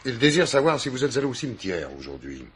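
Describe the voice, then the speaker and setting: Through clear noise and clicks on an old radio drama comes a haughty upper-class father played by a man. His mid-pitched voice is formal and imperious, with cutting sarcasm. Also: sing-song, fast talker, natural voice. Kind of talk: French radio mystery drama acting.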